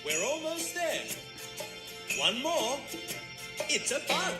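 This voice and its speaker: A woman's voice: high-pitched, big pitch swings, slow pace. A woman presenting an educational children's song, giving upbeat spelling prompts. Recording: echoey, with loud music behind.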